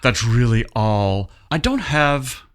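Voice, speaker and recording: A man's voice: mid-range voice, lively intonation, slow pace. A man chatting casually as a theatre director being interviewed, with a self-deprecating manner. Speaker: community theatre director, guest.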